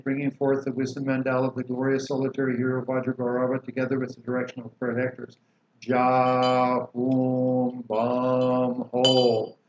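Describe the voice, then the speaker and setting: A man teaching a Buddhist practice, calmly explaining and reciting liturgy with slow chanted mantras. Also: mid-range voice. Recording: roomy, dull lo-fi recording with faint hiss.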